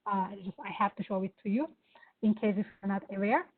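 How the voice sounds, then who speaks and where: A woman with a Turkish accent giving an enthusiastic live software demo, with many fillers. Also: medium pitch, fast. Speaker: presenter. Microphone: video call. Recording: phone-like online meeting audio.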